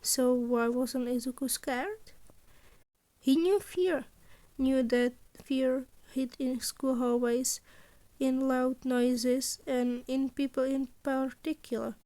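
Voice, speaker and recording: High-pitched woman narrating a story. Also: fairly even intonation, slow, warm tone. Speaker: podfic narrator.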